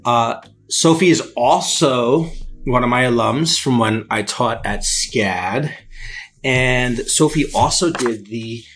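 A man co-hosting a podcast, introducing a guest in a quick, upbeat, casual way with fillers. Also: medium pitch, a bit bright. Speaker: podcast co-host, former teacher. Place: home room with bookshelves.

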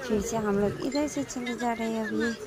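A woman's voice: fairly high pitch, moderate pace, loud music behind the voice, over a noisy crowd. A young woman in her twenties vlogging casually outdoors, chatting cheerfully to her viewers, filmed on a phone at arm's length. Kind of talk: casual travel vlog. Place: outdoors on a village road.

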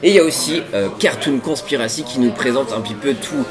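A man's voice: mid-range voice, lively intonation, quick pace. Young man chatting over music. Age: 20s. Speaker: web show co-host. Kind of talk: casual scripted chat to camera. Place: busy diner booth, indoors.

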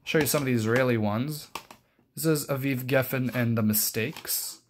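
A man's voice: medium pitch, moderate pace, slight background noise. Young man, hesitant and rambling. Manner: hesitant, rambling, self-correcting. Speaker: collector presenting his cassette collection. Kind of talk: casual unscripted show-and-tell.

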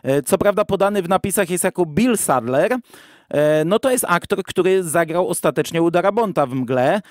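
Male podcast host, somewhat high voice, conversational and explanatory, very dry recording. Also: lively intonation, normal speed, no echo. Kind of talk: conversational podcast discussion of audio drama. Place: virtual studio, remote podcast recording.

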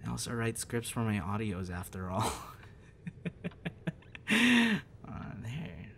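A man playing a gentle, encouraging young voice actor in a soft, hesitant, reassuring ASMR boyfriend roleplay. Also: fairly low pitch, wildly swooping pitch, takes it slowly, creaky, heavily acted. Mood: tender. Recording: noticeable background noise.

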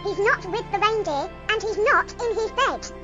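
Squeaky little worried elf. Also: female voice, normal speed, muffled recording, over music. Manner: anxious, squeaky. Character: small worried Christmas elves, anxious and squeaky.

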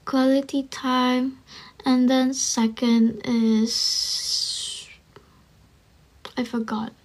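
A young woman in her twenties with a Malaysian accent, chatting softly into her phone on a livestream, often close to a whisper. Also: high-pitched, level tone, extremely slow. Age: 20s. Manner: soft, drowsy, often near a whisper. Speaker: livestream host reading chat. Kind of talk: casual livestream chat. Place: dim room indoors. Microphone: phone held close.

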